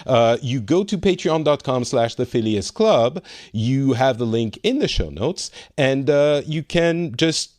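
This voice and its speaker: Lively French-accented man hosting a podcast. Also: mid-range voice, no room sound, studio quality. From France. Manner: chatty, self-correcting, laughs easily. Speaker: podcast host. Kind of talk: casual podcast chat. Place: home in Finland.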